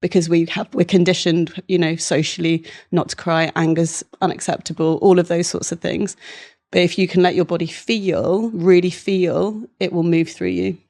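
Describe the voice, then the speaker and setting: A woman's voice: on the low side, level tone, normal speed, darkish tone. A woman, a somatic therapist, explaining earnestly as a podcast guest, restarting her sentences often. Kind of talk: reflective podcast interview.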